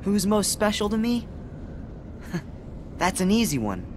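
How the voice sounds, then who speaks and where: Sincere teen boy hero, super high voice, slow, echoey hall reverb. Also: big pitch swings, background noise. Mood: confused. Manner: earnest, sincere, bewildered. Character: earnest kind-hearted teenage boy hero. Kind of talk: video game voice acting.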